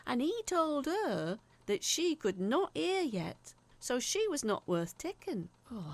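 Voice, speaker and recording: A woman's voice: a little high, full character voice. A woman playing an earnest, haunted letter writer, confiding eerie childhood tales in a dramatic scripted narration. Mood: unsettled, confiding.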